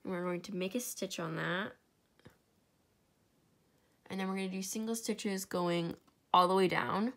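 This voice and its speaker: A woman's voice: mid-range voice, steady tone, a little slow, gravelly, long pauses. A young woman with a stuffy, congested voice, casually talking through a crafting tutorial and often dropping to a whisper. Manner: chatty, self-deprecating, often drops to a whisper. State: congested, sore throat. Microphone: camera over a tabletop, hands only.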